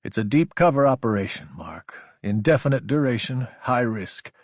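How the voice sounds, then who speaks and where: A man voicing an earnest, steady military officer in an audiobook, speaking in a measured, serious way. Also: mid-range voice, no room sound, clean studio sound, natural voice. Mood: grave. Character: earnest, steady young military officer recruiting his friend.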